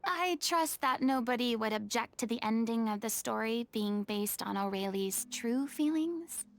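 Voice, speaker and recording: A woman's voice: on the high side, expressive, unhurried. A woman voicing a poised young woman who firmly scolds and guides a quarreling theater troupe. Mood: stern. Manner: stern, firm, theatrical. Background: game music.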